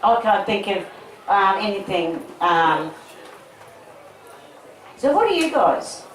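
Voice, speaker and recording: A woman's voice: medium pitch, sing-song, slow pace, slight background noise. Chatty Australian woman at a distant, echoey talk. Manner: chatty, rambling, blunt, with swearing and laughs. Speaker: medical cannabis advocate giving a festival talk. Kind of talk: informal public talk with audience questions. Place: festival talk in front of an audience. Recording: echoey, distant mic, audience crowd audible.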